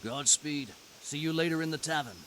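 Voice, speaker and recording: A man's voice: fairly high pitch, brisk, a bit bright, slight background noise, lightly acted, rough recording. A man playing a friendly, admiring medieval castle workman in a video game cutscene. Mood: friendly, wry.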